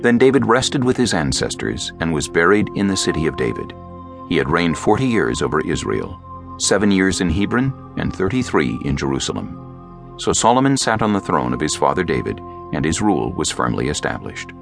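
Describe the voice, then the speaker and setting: A man narrating scripture as a scripted audiobook, in a measured, solemn storytelling manner. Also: deep voice, sing-song, creaky, music in the background.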